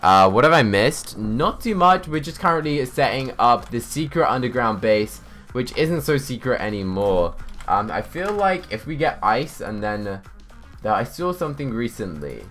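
A young man giving casual live gaming commentary, chatting, thinking out loud and reading out chat messages. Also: mid-range voice, sing-song, normal speed, faint music behind. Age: young adult. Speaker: livestreamer. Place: indoors, small home room.

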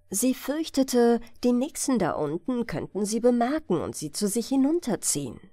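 Slow, expressive woman reading a fairy tale. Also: medium pitch, no room sound, studio quality. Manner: measured, expressive storytelling. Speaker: audiobook narrator. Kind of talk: scripted bedtime fairy-tale narration.

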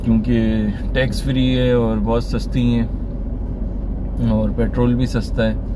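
Chatty man narrating a drive, loud road noise. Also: mid-range voice, level tone, slow pace, distant mic. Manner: chatty, addresses viewers as friends. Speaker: vlogger. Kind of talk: casual driving commentary. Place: inside a moving car.

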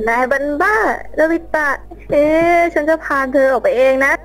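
A woman's voice: high-pitched, expressive, normal speed, soft background music. A woman voicing a sweet but insistent, easily hurt schoolgirl in a cartoon fan drama. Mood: determined.

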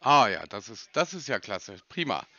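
A man's voice: medium pitch, sing-song, normal speed, sharp tone, no echo. A man posing as a customer on a phone call, probing and mock-naive, arguing and picking apart what he hears. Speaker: scam baiter posing as a customer.

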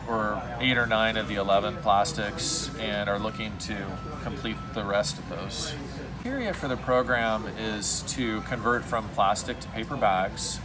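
A man in his forties, a restaurant owner, talking earnestly to a phone camera at home, gesturing as he explains. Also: lowish voice. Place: home room indoors. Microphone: phone camera close up.

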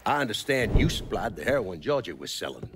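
Low-voiced, weary American mob boss. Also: male voice, big pitch swings, fairly quick, noisy background. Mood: gruff, irritated. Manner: blunt, streetwise, terse. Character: weary, grim male crime boss, middle-aged or older. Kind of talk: dramatic video game dialogue.

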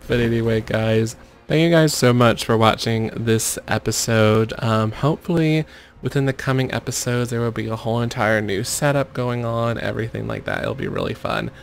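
A man with a flat, monotone delivery talks over his gameplay, often muttering urgings at the screen. His voice has a gravelly, creaky edge at a middling pitch. A faint hiss sits under the recording. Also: moderate pace. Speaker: gaming video creator. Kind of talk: gameplay commentary.

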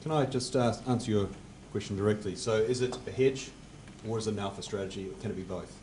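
A man in his forties answering on a conference panel at a table mic, measured and technical, his phrases fading at the ends. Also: fairly low pitch, a little mellow, a little hiss or hum. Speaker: panelist, fund manager. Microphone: table mic on stand.

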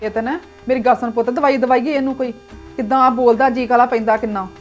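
A woman's voice: a little high, steady tone, fast talker, a little mellow, highs cut off, soft background music, natural voice. A woman playing a village mother who scolds and nags her sons. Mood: scolding. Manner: scolding, nagging. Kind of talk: village comedy sketch acting.

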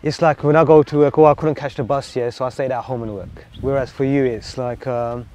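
A man interviewing a guest, thinking aloud in a hesitant, reflective way with many pauses. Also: mid-range voice, fairly even intonation, a little mellow, slight background noise. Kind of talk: casual interview.

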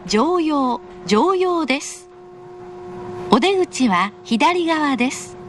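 A woman with a high voice reads a recorded in-car announcement. She is polite and formal, enunciating carefully at an unhurried pace. Faint train noise runs behind her. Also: animated. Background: train car ambience.

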